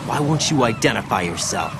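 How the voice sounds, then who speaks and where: An actor playing an earnest young soldier in a video game, urgent and questioning in a military manner. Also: male voice, mid-range voice, animated, a little fast, creaky, noisy background. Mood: suspicious, insistent. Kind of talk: dramatic video game voice acting.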